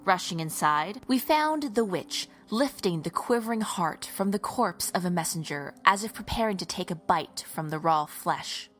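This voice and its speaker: A woman's voice: lowish voice, lively intonation, moderate pace, hardly any pauses, fairly bright tone. A woman playing a stern, defiant holy warrior in a fantasy audio drama, speaking formally and resolutely. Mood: solemn, resolute.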